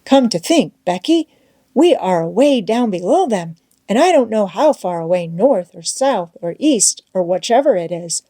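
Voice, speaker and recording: A woman's voice: medium pitch, expressive, moderate pace, dark tone, slightly rough. A woman voicing an adventurous young boy in a storybook, reassuring but uneasy, with folksy wording. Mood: uneasy reckoning. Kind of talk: children's novel read aloud.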